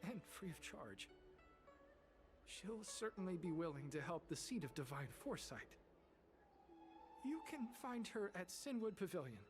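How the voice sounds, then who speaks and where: An animated, mid-pitched female voice acted by a woman for an earnest alchemist in a video game. The intonation swings widely and she sounds easily flustered. Music sits behind the speech. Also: close to an everyday voice.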